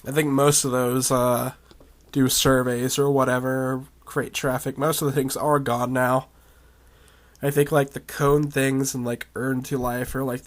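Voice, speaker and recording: Hoarse young man rambling over gameplay. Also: medium pitch. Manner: rambling, chatty, with coughs and laughs. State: hoarse, raspy voice. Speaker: video game vlogger. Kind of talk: casual commentary while exploring a game.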